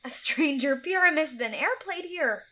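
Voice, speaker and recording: Bright, high-voiced mischievous fairy trickster. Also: female voice, animated. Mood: mocking, amused. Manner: taunting, dramatic, drawn-out delivery. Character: mischievous fairy sprite, gleeful taunting trickster. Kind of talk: theatrical Shakespearean audio drama acting.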